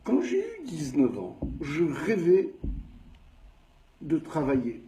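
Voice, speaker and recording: Slow-talking older man with a Tunisian French accent. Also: fairly high pitch, a little hiss or hum. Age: 60s. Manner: slow, measured recounting with lively emphasis. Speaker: storyteller. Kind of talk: personal storytelling monologue.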